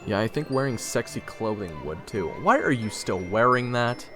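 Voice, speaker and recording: A man playing a sarcastic young traveler who narrates his own adventure in a wry, expressive, snarky way. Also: mid-range voice, moderate pace, natural voice. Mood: dry sarcasm. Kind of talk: first-person dramatic narration and dialogue. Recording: roomy echo with long reverb, music behind.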